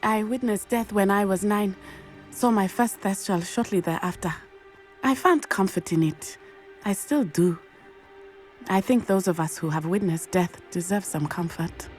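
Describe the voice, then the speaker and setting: An actress playing a solemn, thoughtful young witch student in a video game, speaking in measured, formal phrases. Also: mid-range voice, a little mellow, soft background music, close to an everyday voice. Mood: wistful, reflective.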